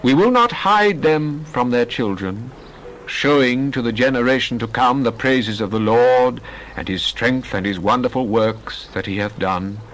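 A man giving a dramatized Bible reading in a solemn, measured way. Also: medium pitch, a bit bright, slight background noise, poor audio. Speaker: scripture reader.

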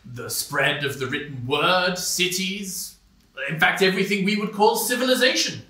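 A smug male intellectual acted by a man in a stage play. His fairly high voice sounds bright and forceful. The sound is roomy and distant, from a theater stage. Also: normal speed. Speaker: debate guest. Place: small stone-walled theater stage.

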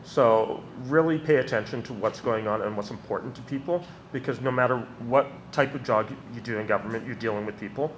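A man in his thirties on a panel in a lecture room, speaking deliberately into a table microphone and pausing on many fillers. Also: lowish voice. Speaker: panelist, ministry issues lead. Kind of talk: panel Q&A answer. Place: university lecture room, indoors. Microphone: table microphone, seated. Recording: hissy lecture-room recording.

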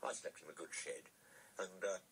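A man's voice: low-pitched, fairly bright tone, husky, heavily acted. A man voicing a cocky, impatient tank engine in a children's story, blustering and exclaiming. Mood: evasive. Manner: exclamatory, blustering, hurried excuses.

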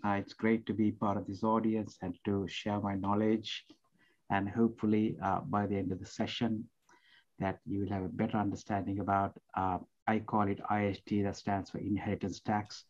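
A man's voice: fairly low pitch, flat delivery, moderate pace, darkish tone, highs cut off. A middle-aged man from Sri Lanka, a guest accountant, answering interview questions over a video call with many hesitations. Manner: hesitant, many fillers and restarts. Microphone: headset on video call.

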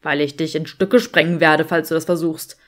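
Audiobook role: impatient young wizard, curt and menacing, pitched down, played by a woman. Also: steady tone, normal speed, lightly acted. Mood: threatening. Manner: terse, hissed commands. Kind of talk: audiobook character acting.

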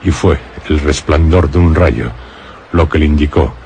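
A man's voice: extremely low pitch, measured pace, gravelly, darkish tone, slight background noise. A man from narrating a scripted radio horror and suspense drama in a solemn, dramatic storytelling manner. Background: music.

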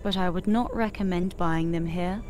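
A woman voicing a helpful spaceship computer assistant, making measured, informative scripted announcements for a game. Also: on the low side, dark tone, close to an everyday voice. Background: music behind the voice.

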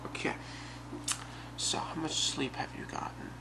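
A young man playing an obsessive, calmly menacing boyfriend in a halting, soft, half-whispered ASMR role play. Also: lightly acted. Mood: calmly controlling. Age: young adult. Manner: halting, soft-spoken, unhurried. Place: small bedroom, indoors. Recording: very noisy webcam-style home recording.

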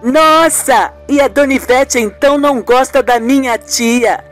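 A woman's voice: sits high, a little slow, soft background music. A woman playing a blunt, loyal young niece in an amateur radio soap, earnest and outspoken. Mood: indignant.

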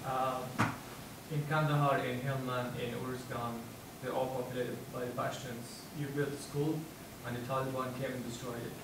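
A man's voice: medium pitch, level tone, moderate pace, few pauses. Man, audience question, earnest and pointed, heavy hall echo, noisy. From Afghanistan. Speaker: audience member asking a question. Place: lecture room audience. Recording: echoey hall, distant handheld mic, background noise.

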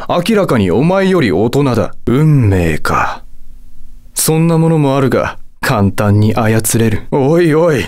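A haughty demon lord from a video game, lovestruck and quick to anger. He speaks with theatrical condescension in a mid-pitched voice. His intonation is highly animated, swinging widely from low to high. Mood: smug, cool. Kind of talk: Japanese video game character voice acting.

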